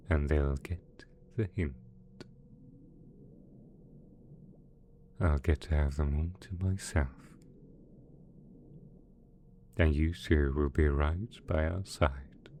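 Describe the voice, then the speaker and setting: A deep-voiced mad scientist supervillain, acted by a man for an ASMR roleplay. He is possessive and doting, coaxing softly with a sweetly sinister manner. Some background noise sits behind the voice. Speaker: voice actor in audio roleplay.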